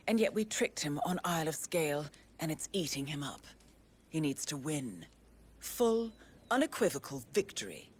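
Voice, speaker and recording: A woman playing a poised, calculating spy handler in a video game, speaking with composed, deliberate, formal phrasing. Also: on the low side, husky. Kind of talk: dramatic video game acting.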